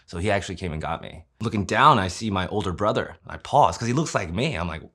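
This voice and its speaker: Man in his late thirties, low voice, casual and candid telling his story. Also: expressive, quick pace, fairly bright tone. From Kansas City, Missouri, USA. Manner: conversational, often adds tag questions and fillers. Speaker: interviewee. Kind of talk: personal storytelling interview.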